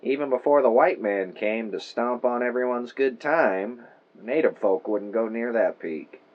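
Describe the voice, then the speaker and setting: A man's voice: medium pitch, moderate pace, dull audio, natural voice. An actor playing an old small-town storekeeper in an audio drama, wry, friendly and folksy in his phrasing. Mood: grave, wistful. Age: older.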